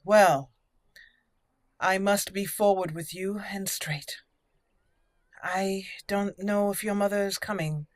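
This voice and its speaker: A woman's voice: mid-range voice, fairly even intonation, slow, long pauses, dry recording. Chatty woman on a close, dry mic. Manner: chatty, thinks aloud, switches into formal in-character lines. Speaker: gaming channel host and player. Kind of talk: roleplay video game walkthrough commentary. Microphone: close, dry microphone.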